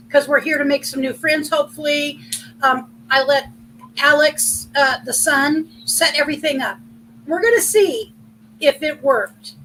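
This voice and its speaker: A middle-aged woman with a big, loud voice, hosting a casual livestream in a chatty, rambling way and thanking viewers by name. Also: sits high, a little hiss or hum, low-quality recording. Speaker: livestream host.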